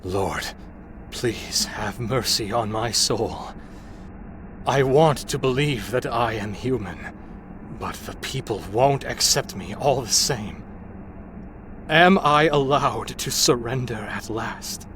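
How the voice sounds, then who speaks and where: A man voicing a tormented, self-loathing young soldier cursed to become a werewolf, in a brooding, halting, melodramatic gothic visual novel style. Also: medium pitch, a bit bright, a little hiss or hum. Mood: despairing. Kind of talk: gothic visual novel voice acting, dramatic monologue.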